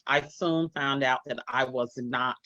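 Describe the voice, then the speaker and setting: A woman hosting an online interview show, warm and encouraging, who calls her guest "sis". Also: a bass voice, fairly even intonation, a little slow, no room sound. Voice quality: speaks from personal experience of hair loss. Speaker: host. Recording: dry, muffled sound.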